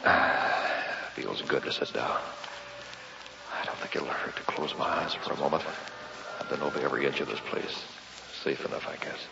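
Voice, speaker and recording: An actor playing a watchful investigator in an old radio drama, speaking in clipped, dramatic phrases. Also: male voice, rumbling low, normal speed, gravelly, heavy background noise, heavily acted. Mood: weary, musing. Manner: clipped musing monologue, dramatic. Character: weary, watchful male investigator guarding a museum at night. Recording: muffled, echoey vintage radio recording.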